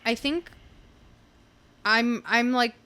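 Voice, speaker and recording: A woman's voice: on the high side, fairly even intonation, extremely slow, pushed and bright. A young adult woman, a comedian guest on a podcast, chatting casually and leaning heavily on "like" as a filler. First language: not English. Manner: chatty, filler-heavy with frequent "like". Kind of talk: casual chat.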